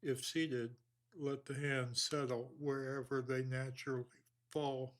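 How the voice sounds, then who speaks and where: Slow, even-toned male meditation guide. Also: mid-range voice. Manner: unhurried, measured, soothing instruction with long pauses. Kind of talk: guided body scan meditation narration.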